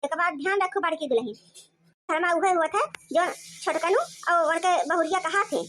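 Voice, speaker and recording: A woman plays a cartoon village mother-in-law who dotes on her son. The voice is very high, with an Awadhi accent. She bosses and scolds in a rustic, anxious manner. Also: moderate pace, fairly bright tone, a little hiss or hum. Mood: bossy. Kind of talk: cartoon comedy dialogue.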